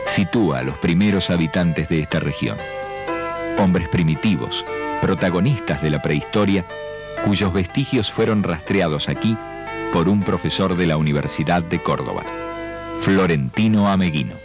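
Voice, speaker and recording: A man reading scripted documentary narration in a formal, measured way. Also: low-pitched, muffled recording, over music. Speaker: documentary presenter.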